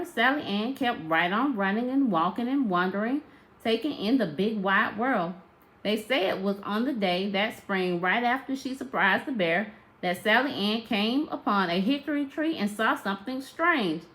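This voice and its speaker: A woman narrating a children's tall tale aloud like a teacher, with expressive, emphatic storytelling. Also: on the high side, poor audio.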